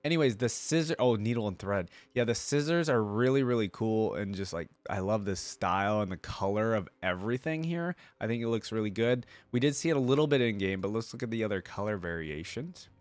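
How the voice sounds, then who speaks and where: A man hosting a casual reaction video, rambling and thinking out loud with enthusiasm. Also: fairly low pitch, expressive, fairly quick, few pauses, dry recording. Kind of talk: casual reaction commentary, reading aloud.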